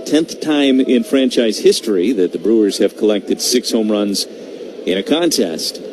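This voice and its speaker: Man calling baseball over loud noise. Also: fairly low pitch, animated, a little slow. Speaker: baseball play-by-play radio announcer. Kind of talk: live baseball radio commentary. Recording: noisy live radio broadcast.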